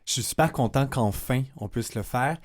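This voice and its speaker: A man's voice: mid-range voice, moderate pace. A man speaking earnestly as a guest on a radio panel. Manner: earnest, emphatic. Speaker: panel guest, community director. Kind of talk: round table discussion.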